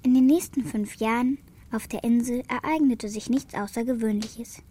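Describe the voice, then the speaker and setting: Woman doing a brave young girl for an audio drama, highish soft warm voice, earnest. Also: steady tone, moderate pace, slight background noise, lightly acted. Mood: reading aloud. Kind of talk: radio play acting.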